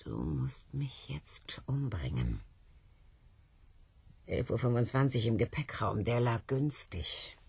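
A woman's voice: extremely low pitch, very animated, slow pace, darkish tone, dry recording, full character voice. An actress in a radio play voicing a self-loathing, taunting woman who pleads insistently, drifting from whispers to outcries. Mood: urgent, insistent. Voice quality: husky, breathy.

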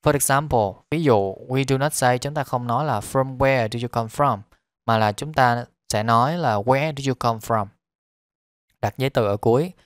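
A man's voice: mid-range voice, clear, resonant tone, no echo. Male teacher, dry sound. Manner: repeats each phrase slowly, then explains quickly. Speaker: English teacher. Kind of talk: language lesson, repeating phrases and explaining.

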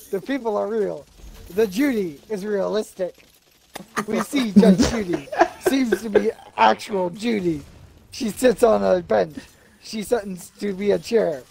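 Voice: medium pitch, unhurried, gravelly, darkish tone, rough recording. A person reading a comedy script aloud in a casual group setting. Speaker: script reader.

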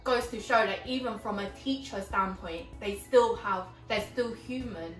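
A woman's voice: mid-range voice, measured pace. A young woman from Vietnam co-hosting a casual chat, talking with lots of fillers like um and like. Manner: conversational, many fillers like "um" and "like". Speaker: co-host. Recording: roomy sound, mic at a distance, faint music.

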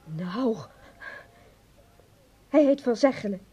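An actress playing a put-upon, precise secretary in a Dutch radio play. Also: female voice, fairly high pitch, expressive, slow pace, mellow, breathy edge, heavily acted. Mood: defensive. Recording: dull, muffled radio recording.

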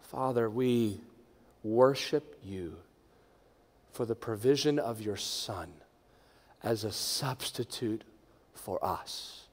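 A middle-aged man, a pastor leading a congregation in prayer from a lectern, moving between hushed, near-whispered phrases and strong, raised proclamation. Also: lowish voice, takes it slowly, long pauses. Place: large church sanctuary. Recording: long church reverb.

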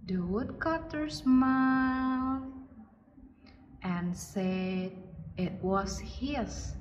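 Very slow woman storyteller, distant and echoing. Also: sits high. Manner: deliberate, broken into short phrases. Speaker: kindergarten teacher narrating a puppet show. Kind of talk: slow children's storytelling narration. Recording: muffled, distant, reverberant hall with noise.

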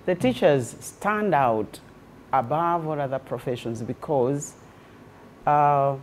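A woman's voice: deep voice, slow pace, a little hiss or hum. A woman, a teacher education registrar, speaking as a guest on a TV talk show with impassioned, preacherly phrasing. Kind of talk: TV studio interview. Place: TV studio set.

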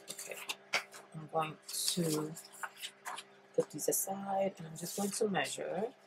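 A woman's voice: deep voice, background noise. A woman with a congested, stuffy voice, chatting her way through a paper craft demonstration at her table, thinking aloud with frequent ums. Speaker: paper craft tutorial host. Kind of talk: relaxed step-by-step craft demonstration.

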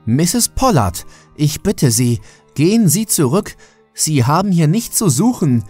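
Guy playing exasperated worried doctor in horror story, firm, pitched up, music behind. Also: sing-song, unhurried, a little mellow, breathy edge, full character voice. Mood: firm, urgent. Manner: urgent, commanding.

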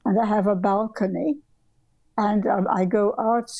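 Elderly woman with a gravelly, mellow voice. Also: medium pitch, steady tone, unhurried, dry recording, lo-fi sound. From southern Africa. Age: older. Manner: meandering, with frequent restarts and ums. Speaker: guest architect. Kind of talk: reflective conversational storytelling. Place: home bedroom workroom, indoors. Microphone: video call.

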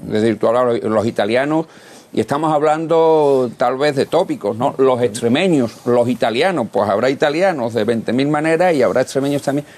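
A middle-aged man, a company sales director on a studio panel, speaking measuredly and haltingly with many restarts, on a lapel mic. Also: mid-range voice, darkish tone. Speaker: commercial director guest. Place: TV studio set.

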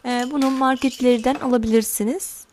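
A middle-aged woman hosting a home cooking channel, calmly talking viewers through a recipe step by step and addressing them warmly as friends. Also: sits high, a little hiss or hum. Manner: slow, careful instructions. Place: home kitchen counter.

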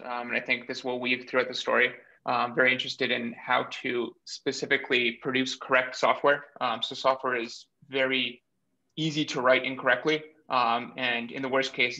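A man in his thirties from Bulgaria, explaining his background in a measured way with many pauses, speaking as a guest over a video call from a small room. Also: mid-range voice, creaky, pushed and bright. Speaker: guest, startup cofounder. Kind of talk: remote interview. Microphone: webcam or laptop mic on video call. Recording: dull lo-fi video call audio.

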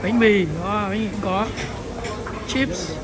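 A woman's voice: mid-range voice, slow pace. A woman chatting casually as she films her way through a restaurant buffet, slipping English phrases in among her commentary. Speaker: vlogger. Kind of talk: casual walk-through vlog. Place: busy indoor buffet restaurant. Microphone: handheld phone. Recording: loud crowd noise behind.

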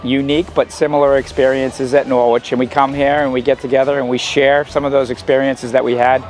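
Mid-pitched man, casual interview. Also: level tone, fairly quick, a little mellow, faint music behind, low-quality recording. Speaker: alumnus.

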